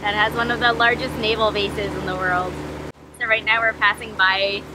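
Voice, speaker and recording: A woman's voice: mid-range voice, little pitch movement, normal speed. A young woman casually narrating a sailing vlog from the deck of a sailboat, chatty and laughing often as she explains. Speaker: sailing vlogger. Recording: noisy outdoor camera audio, boat and water. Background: boat engine and water noise.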